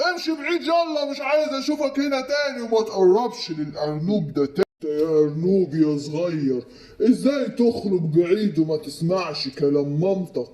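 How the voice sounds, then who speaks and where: A man's voice: high voice, a little mellow, distant mic, voice pushed up. A man voicing a wise, stern male bear who rules the forest, with an Egyptian accent, in a children's story. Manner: scolding, commanding.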